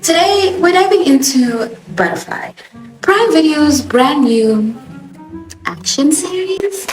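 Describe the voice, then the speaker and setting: A young woman, a native Swahili speaker with a Johannesburg accent, giving a sassy, expressive TV show review as host. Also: high-pitched, slow pace. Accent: South African (Johannesburg). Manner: expressive, sassy, animated emphasis. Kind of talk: casual opinionated review. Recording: echoey room with music behind.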